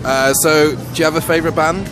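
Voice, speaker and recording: A man's voice: a little high, steady tone, brisk. A man interviewing people on the street in a casual, agreeable way, often answering with short affirmations. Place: busy street outdoors. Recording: outdoor street with music.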